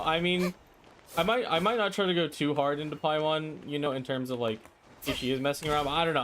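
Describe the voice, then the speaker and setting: A man's voice: mid-range voice, fairly bright tone, a little hiss or hum. A man hosting a live game stream, talking in a casual, rambling, slangy way. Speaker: livestream host, gamer. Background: game music.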